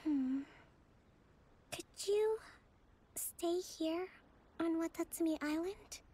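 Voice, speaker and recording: A woman voicing a shy, earnest young priestess in a video game, soft-spoken and gentle, with hesitant, measured phrasing. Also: very high voice. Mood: earnest, hopeful.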